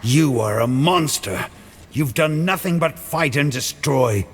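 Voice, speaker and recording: A man's voice: on the high side, animated, slow, slight background noise. A man playing a grim, resolute warrior knight in a fantasy game, terse and solemn. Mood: defiant. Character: grim, resolute warrior knight hero set on his brother. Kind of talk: cinematic fantasy game acting.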